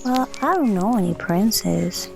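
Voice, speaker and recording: A woman's voice: medium pitch, animated, measured pace, gravelly, rough recording. A woman playing a curious, cheeky young daughter of a soldier in an audio drama, inquisitive and teasing. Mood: hesitant, sincere. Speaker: voice actor. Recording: faint music behind the voice.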